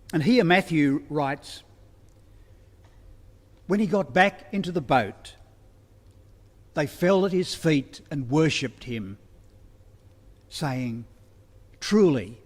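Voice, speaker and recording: A man preaching a sermon as a minister, deliberate and pausing between phrases. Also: fairly high pitch, darkish tone. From Australia. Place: church, livestreamed service. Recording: long hall reverberation.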